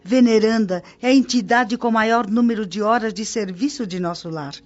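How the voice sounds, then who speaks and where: An actress with a Brazilian accent playing a devoted spirit-colony guide in a radio drama, explaining things in a reverent, articulate way. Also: female voice, fairly high pitch, normal speed, lightly acted. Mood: admiring, devout. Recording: dull lo-fi audio, clear music behind. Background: music.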